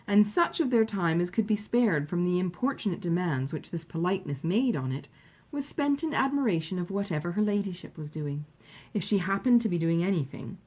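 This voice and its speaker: A woman reading a classic novel aloud as an audiobook narrator, in an expressive, measured storytelling manner. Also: fairly low pitch, breathy edge, highs cut off.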